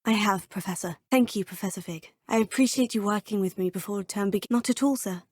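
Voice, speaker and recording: A woman's voice: medium pitch, fairly quick, darkish tone, lightly acted. An actress voicing a polite young female student witch in her fifth year, speaking formally to her teachers in game voice lines. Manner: polite and formal, addressing teachers as sir and professor. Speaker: player character. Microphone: close studio mic.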